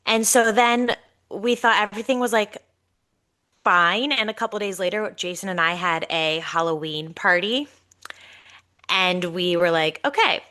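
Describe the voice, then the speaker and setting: Congested, chatty woman with bright tone. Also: mid-range voice, a little slow. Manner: animated, chatty storytelling. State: congested, nasal. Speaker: podcast co-host. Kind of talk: casual storytelling chat.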